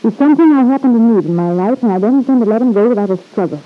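A woman's voice: on the high side, very fast, faint music behind, natural voice. Woman acting a proud, defiant young wife, muffled lo-fi. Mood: defiant, determined. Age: young adult. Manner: cool, sardonic, clipped retorts. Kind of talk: old-time radio drama acting. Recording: dull, lo-fi vintage radio recording.

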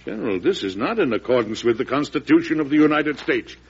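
An actor playing an embattled but calm, dignified president in a classic radio drama. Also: male voice, medium pitch, fast talker, breathy edge, full character voice. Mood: indignant. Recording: dull old radio broadcast.

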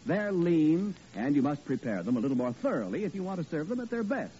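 Male announcer, lively pitch, persuasive patter, dull old radio recording. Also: slight background noise. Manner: brisk, persuasive salesman patter.

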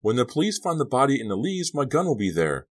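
A man voicing a hot-headed, panicky teenage boy in an audiobook, protesting loudly and heatedly. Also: low-pitched, expressive, brisk. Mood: panicked.

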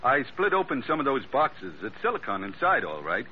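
An actor playing an eager, easily flustered ship's mate in an old-time radio adventure, stammering in a folksy way. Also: male voice, mid-range voice, wildly swooping pitch, a little fast. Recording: muffled, noisy vintage radio recording.

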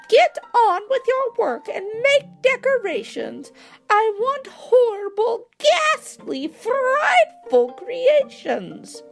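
A woman's voice: very high voice, creaky, pitched above the performer's own voice, exaggerated voice. A woman voicing a bossy, easily exasperated wicked old witch from a storybook, screechy and imperious. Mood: bossy, snapping. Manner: imperious, snapping, dramatic. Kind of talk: storybook character voice.

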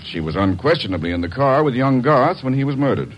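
An actor playing a formal, hesitant doctor, in 1940s radio acting style. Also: male voice, medium pitch, very animated, fast talker, lo-fi sound, close to an everyday voice. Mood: worried. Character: anxious male doctor, would-be victim.

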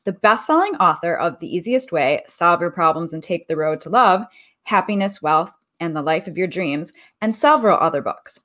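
A woman's voice: lowish voice, expressive, moderate pace, a bit bright. A woman hosting a radio talk show, upbeat and friendly, reading a guest's introduction and opening the interview.

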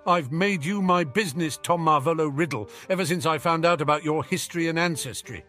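A man voicing a cocky, mocking teenage boy wizard who talks quickly and showily. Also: on the high side, fairly bright tone, soft background music, pitched above the performer's own voice, close to an everyday voice. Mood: gloating. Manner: sneering, quick, showy.

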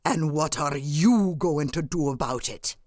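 A woman playing a gruff, blustering but nervous dwarf tunneler with a gravelly voice in an audio comedy. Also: low voice, measured pace, darkish tone, no room sound. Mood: threatening. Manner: blustering, easily cowed. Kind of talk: audio comedy fantasy acting.

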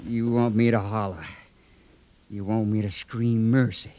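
An actor playing a stubborn, defiant young outlaw's brother with plain rural speech, in old-time radio drama style. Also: male voice, fairly low pitch, flat delivery, measured pace, warm tone, natural voice. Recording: vintage radio drama recording.

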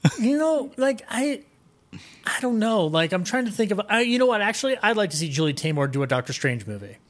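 A man with a slightly high voice chats as a podcast guest. He is enthusiastic and opinionated, rambling through his ideas in an animated, casual way. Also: a little fast. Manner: animated, rambling, opinionated, acts out imagined quotes.

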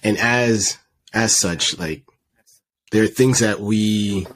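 Deep, husky, laid-back man. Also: takes it slowly, fairly bright tone. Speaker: podcast host, middle school teacher. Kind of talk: casual interview conversation.

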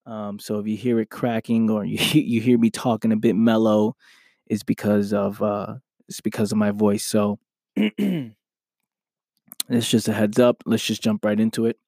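A man hosting his own podcast, his voice a little hoarse, talking earnestly and often asking "right?" as he motivates listeners. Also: fairly low pitch, unhurried, a little mellow, no room sound, studio quality. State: slightly hoarse from losing his voice. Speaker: podcast host. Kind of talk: motivational solo podcast talk.

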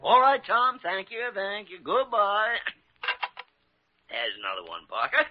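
A man's voice: sits high, huge pitch swings, a little slow, faint music behind, full character voice. An older American man acting in a classic radio drama as a crusty, sly senior doctor, blustery and teasing, often repeating his words. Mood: jovial, wheedling. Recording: muffled old radio recording.

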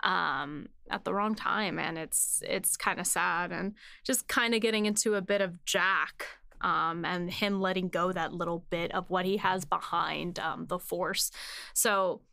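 A woman's voice: fairly low pitch, steady tone, a little slow, creaky, bright, forceful tone, no room sound, studio quality. A woman hosting a casual behind-the-scenes chat as a writer, talking informally with lots of "like" and "you know". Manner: chatty, informal, says "like" and "you know" often. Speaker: host, series writer.

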